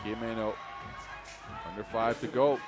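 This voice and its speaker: A man with a Dutch accent giving fast live basketball play-by-play, his energy rising with each play. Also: fairly low pitch. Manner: energetic, rising with the action, self-mocking asides. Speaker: play-by-play commentator. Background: music.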